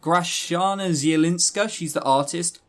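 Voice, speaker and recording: A man's voice: fairly high pitch, unhurried, a bit bright. A man hosting a podcast in casual, rambling review talk, wry and self-deprecating, swearing freely and stressing key words. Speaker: podcast host reviewing visual novels.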